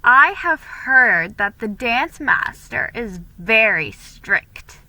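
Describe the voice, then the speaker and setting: A woman's voice: on the high side, big pitch swings, slow, bright, forceful tone, slight background noise, heavily acted, low-quality recording. A woman voicing a gossipy, haughty colonial schoolgirl who prattles in a storybook reading. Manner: prattling, tittering.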